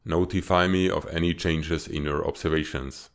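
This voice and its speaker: A man voicing a stern reptilian spymaster in a comic dub, speaking in a formal, measured, authoritative way. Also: extremely low pitch, fairly even intonation, smooth. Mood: formal, businesslike. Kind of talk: dramatic fan-dub comic acting.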